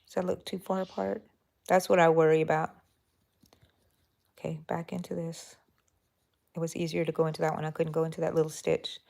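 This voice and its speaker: A woman's voice: sits low, level tone, brisk, gravelly, pauses often, a little mellow. A woman from Texas hosting a casual live crochet stream from her bedroom, chatty and thinking aloud as she works and talking to her yarn. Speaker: crochet channel host. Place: bedroom at home.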